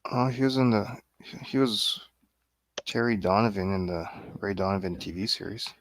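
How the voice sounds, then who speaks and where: A man with a fairly low voice talks as a guest on a casual film review. He is blunt and matter-of-fact in how he puts his opinions. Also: moderate pace, no echo.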